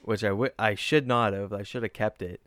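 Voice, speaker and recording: A man's voice: on the low side, steady tone, fairly quick, fairly bright tone, dry recording, clean studio sound. A man, a comedian, talking casually in a reflective, earnest way. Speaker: comedian guest. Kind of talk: casual chat.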